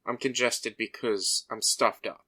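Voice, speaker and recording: A man's voice: mid-range voice, fairly even intonation, unhurried, sharp tone. A man co-hosting a podcast, chatting loosely with lots of fillers and running through the night's agenda. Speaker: podcast co-host. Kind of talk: casual chat.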